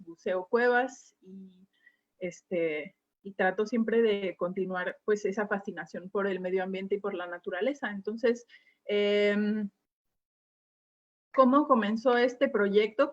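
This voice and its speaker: A middle-aged woman from Mexico, a dive instructor, giving an online talk in a relaxed way with many fillers and pauses. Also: medium pitch. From Mexico, Quintana Roo. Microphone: video call. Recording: muffled video call audio.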